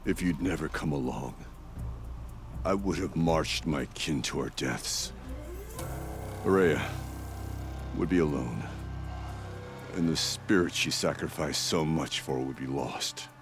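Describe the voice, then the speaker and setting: A very deep, gravelly chieftain. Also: male voice, slow pace, pauses often, music in the background, heavily acted. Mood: solemn, regretful. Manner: grave, formal, stately.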